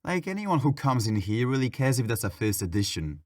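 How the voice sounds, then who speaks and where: A man's voice: medium pitch, sing-song, brisk, dry recording, clean studio sound, natural voice. A man playing a petulant, mischievous ghost who haunts a library, talking in a sassy, casual, complaining way in an ASMR audio roleplay. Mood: sassy, grumbling.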